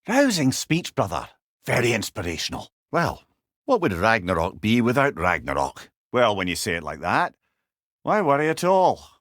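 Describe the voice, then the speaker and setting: Witty older scottish mentor for a game, wise and wry, really animated delivery, no room sound. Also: male voice, medium pitch, normal speed, no room sound, studio quality. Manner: wry, avuncular, expressive. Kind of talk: video game character dialogue.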